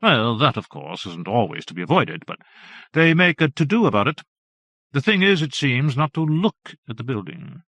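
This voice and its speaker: A man's voice: mid-range voice, very animated, normal speed, creaky, a bit bright, no echo, lo-fi sound, pitched above the performer's own voice, exaggerated voice. A man voicing a chatty old male town scholar who relishes telling local legends. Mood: gossipy.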